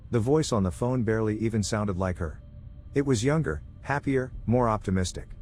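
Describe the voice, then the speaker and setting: A man with a fairly low voice narrates a scripted horror story in the first person. Music plays softly underneath his reading.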